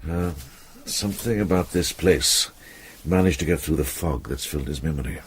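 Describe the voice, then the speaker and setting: An older man playing a shrewd, calm and authoritative medieval monk in a radio drama, speaking in a measured, deliberate way. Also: a bass voice. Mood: musing. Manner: measured, deliberate, thinking aloud. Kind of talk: British radio drama acting. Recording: clear background ambience noise.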